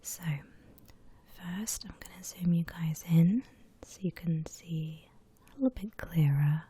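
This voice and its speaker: Whispering woman, slow ASMR drawing tutorial. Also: fairly low pitch. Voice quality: breathy whisper. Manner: gentle, unhurried step-by-step explaining.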